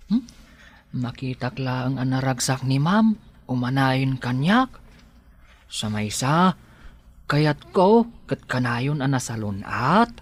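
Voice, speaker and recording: Shy, devoted gardener man acting, unhurried expressive speech, Ilocano accent, hesitant, village radio drama. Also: medium pitch, breathy edge, heavily acted. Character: shy, devoted, humble young male gardener.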